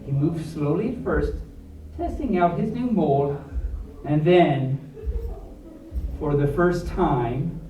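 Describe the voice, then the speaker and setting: A man's voice: fairly high pitch, takes it slowly, long pauses, dark tone. A man reading a storybook aloud to a live audience in a playful, theatrical way, with chatty asides. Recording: roomy, distant mic, faint background noise.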